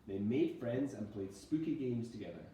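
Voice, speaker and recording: A man reading a storybook aloud like a schoolteacher, in a dramatic, deliberate storytelling manner. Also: mid-range voice, expressive, mellow. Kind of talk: storybook narration. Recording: very echoey room, distant mic, faint noise.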